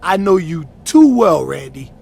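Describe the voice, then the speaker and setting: Video game wrestler, street-tough and distrustful, animated gravelly voice, noisy backdrop. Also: male voice, fairly high pitch, unhurried, heavily acted. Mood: wary. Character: distrustful, combative male pro wrestler rival. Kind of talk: scripted wrestling game cutscene acting.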